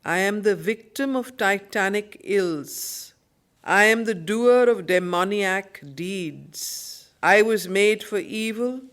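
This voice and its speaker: A woman teaching a study circle, with a slow, measured delivery. She shapes phrases deliberately and with emphasis, moving between solemn verse recitation and explanation. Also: on the low side. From India.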